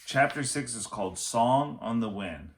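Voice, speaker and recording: A man reading a children's chapter book aloud as a teacher would, with expressive, dramatic phrasing. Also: mid-range voice, unhurried. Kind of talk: storybook read-aloud narration.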